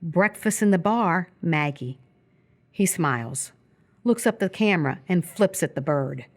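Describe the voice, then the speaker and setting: Female narrator, slightly low register, measured descriptive delivery of scripted stage directions for live radio theatre. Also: animated.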